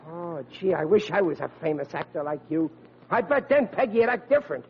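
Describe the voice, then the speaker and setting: A very high, swooping voice for a gullible American working-class sad sack. He is slangy, whiny and earnest, in 1940s radio comedy manner. The old recording is muffled and noisy. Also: very animated, a little fast. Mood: dejected, wistful.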